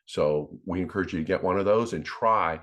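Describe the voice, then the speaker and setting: A man with a very deep voice presents an informal demonstration. He speaks in an instructional way to his viewers, often doubling back on words as he explains how things work. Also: a bit bright, dull audio. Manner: halting, repeats words while explaining.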